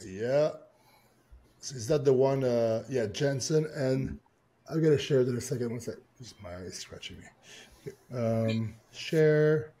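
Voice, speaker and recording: Mellow-voiced man in his thirties. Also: medium pitch, unhurried. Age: 30s. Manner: relaxed, talks while reading from a screen. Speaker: podcast co-host. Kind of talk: casual chat.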